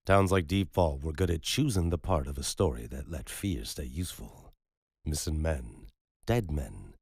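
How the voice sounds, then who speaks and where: A man narrating a story in a measured, unhurried storytelling manner. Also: a bass voice, darkish tone, breathy edge, no echo, clean studio sound. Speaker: audiobook narrator. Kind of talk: scripted narration.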